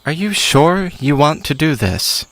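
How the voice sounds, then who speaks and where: A man's voice: fairly high pitch, expressive, unhurried, a bit bright. A man playing an earnest half-human satyr in a theatrical audio drama. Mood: measured.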